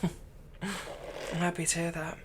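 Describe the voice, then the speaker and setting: A man playing a doting, lightly teasing fantasy villain captor in an ASMR roleplay, speaking softly and tenderly, coaxing the listener without hurry. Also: on the high side, completely flat delivery, slight background noise. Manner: soft, coaxing, unhurried, tender.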